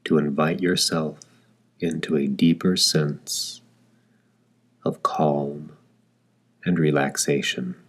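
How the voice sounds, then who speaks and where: A man leading a guided meditation in calm, gentle scripted narration, with deliberate phrasing and long pauses. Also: rumbling low, breathy edge.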